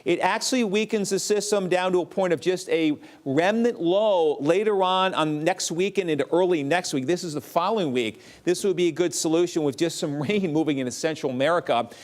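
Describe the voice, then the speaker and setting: Guy doing the tv weather, voice a bit high, punchy sing-song delivery. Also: fairly quick, hardly any pauses. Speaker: TV weather forecaster. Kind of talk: broadcast weather forecast.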